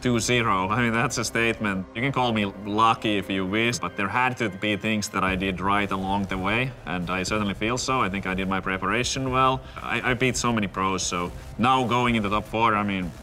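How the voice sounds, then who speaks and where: Man from finland, player interview, bright forceful voice, lowish pitch, faint music behind. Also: a little fast, few pauses. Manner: confident and reflective. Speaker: tournament player. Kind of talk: pre-match interview.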